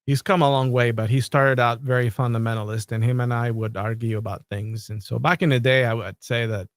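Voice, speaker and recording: Man, from Colombia, measured, thoughtful, casual podcast talk, dry close sound. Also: mid-range voice, steady tone, no echo. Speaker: podcast host. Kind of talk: casual conversation.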